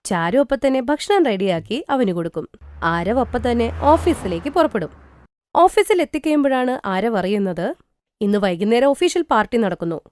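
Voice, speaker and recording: A woman narrating a cartoon moral story. Her voice is somewhat high and moves lively up and down. The sound is close and dry. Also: moderate pace, no echo. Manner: lively, expressive.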